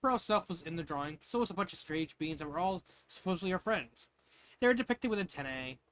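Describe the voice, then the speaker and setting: A man's voice: on the high side, lively intonation, brisk, fairly bright tone, slight background noise. A man reading a story aloud in a loose, jokey way, often breaking off into casual asides. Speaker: fanfiction reader.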